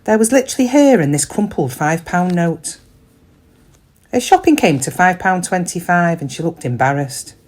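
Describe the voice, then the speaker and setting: An actress as a chatty British shop worker, lively pitch. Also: female voice, normal speed. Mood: pensive, confiding. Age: middle-aged. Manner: confiding, wry, colloquial. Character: chatty middle-aged woman shop worker, kind but quietly weary. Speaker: actor in a dramatic monologue. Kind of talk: conversational dramatic monologue.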